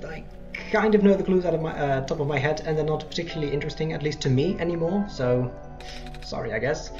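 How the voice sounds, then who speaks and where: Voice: medium pitch, fast talker, highs cut off, over music. A let's play host giving casual, rambling game commentary with self-deprecating asides.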